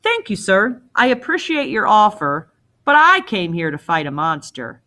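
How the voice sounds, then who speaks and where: A woman's voice: mid-range voice, wildly swooping pitch, moderate pace, sharp tone. A woman voicing a bold, reckless young male hero in a storybook reading, earnest and defiant. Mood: resolute.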